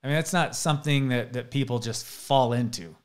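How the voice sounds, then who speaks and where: Man, thirties, mid-pitch, enthusiastic, self-deprecating, restarts a lot, podcast mic. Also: fairly quick. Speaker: interview host. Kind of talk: casual interview chat. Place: indoor studio room with posters. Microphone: desk podcast mic, seen.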